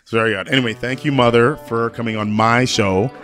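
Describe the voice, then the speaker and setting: A man's voice: medium pitch, steady tone, brisk, fairly bright tone, faint music behind. A man hosting a radio show in a casual, conversational interview style.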